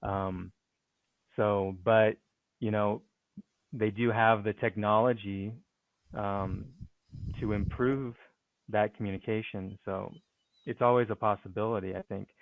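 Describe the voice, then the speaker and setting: A man speaks in a lowish voice, pausing often and restarting his sentences as he thinks aloud. His voice comes through a tinny, phone-like line with a dry, close sound. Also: fairly even intonation, no room sound. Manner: hesitant, many fillers and restarts. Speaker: speaking and writing coach, podcast guest. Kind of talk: conversational interview answer.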